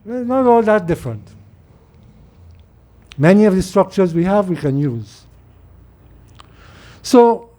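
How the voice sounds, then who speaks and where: A man's voice: high-pitched, warm tone. A middle-aged man from Trinidad with a Trinidadian accent, giving a rambling informal talk and repeating words as he restarts. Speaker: political speaker. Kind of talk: informal monologue.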